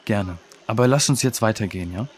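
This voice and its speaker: A man playing a kind, protective young friend, speaking gently and sincerely in a children's audio drama. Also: fairly low pitch, a little fast, lightly acted. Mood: reassuring.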